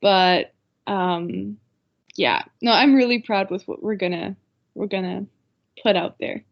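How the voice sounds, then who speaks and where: A woman with a medium-pitched voice gives casual, earnest answers as a guest in an interview. She often restarts and repeats phrases. The audio is a bit dull. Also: measured pace, creaky. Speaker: panel guest, pantomime board member.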